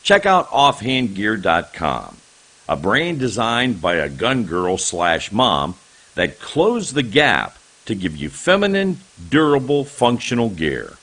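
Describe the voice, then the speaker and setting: A man's voice: on the low side, sharp tone. A man reading radio advertisements as an announcer.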